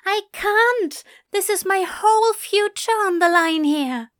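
A woman voicing a nervous teenage boy who frets and pleads in a high, breathless voice. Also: lively intonation, a little slow, dry recording, studio quality. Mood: panicky.

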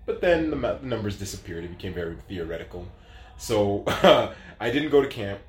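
A man's voice: fairly low pitch, sing-song, fairly quick, echoey room, a little hiss or hum. A man in his late thirties telling his story as an interview guest, casual and rambling, with lots of fillers. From Canada. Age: 30s.

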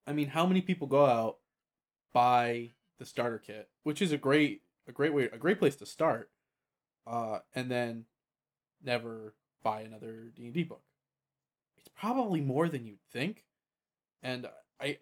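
Mid-pitched man, hesitant and measured. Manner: hesitant, stammered restarts, hedging and measured. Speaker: podcast co-host. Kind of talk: casual discussion.

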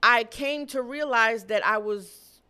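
Woman co-host with a slightly high voice, blunt and profane, animated storyteller. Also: takes it slowly, clear, resonant tone. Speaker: podcast co-host. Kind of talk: casual chat.

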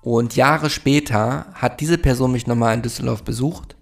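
A man's voice: medium pitch, normal speed, gravelly, faint music behind. A man in his forties from Germany hosting a solo podcast, talking in a reflective, rambling way with many fillers. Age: 40s. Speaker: podcast host.

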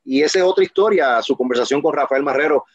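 A man's voice: a little high, quick pace, slightly rough, no room sound, highs cut off. Passionate, emphatic man in an interview. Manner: passionate, emphatic, rambling with restarts. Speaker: guest, professional wrestler. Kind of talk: casual interview talk.

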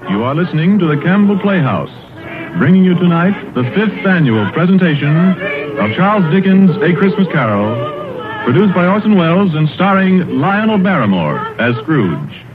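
A man's voice: high-pitched, very animated, moderate pace, phone-quality sound. A man announcing formally in a 1930s network radio style. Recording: thin 1930s radio, music behind.

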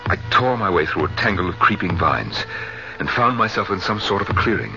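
An actor playing a jealous, unhinged middle-aged husband in a 1970s radio drama. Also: male voice, low-pitched, animated, fairly quick, bright, forceful tone, heavily acted. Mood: tense, subdued. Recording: dull vintage radio recording, music behind.

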